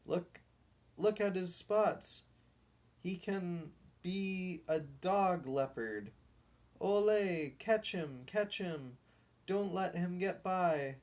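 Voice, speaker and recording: A man voicing a bossy, excited boy captain in a storybook, calling out taunting orders. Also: high voice, drawn-out words, pitched above the performer's own voice. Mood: eager, commanding.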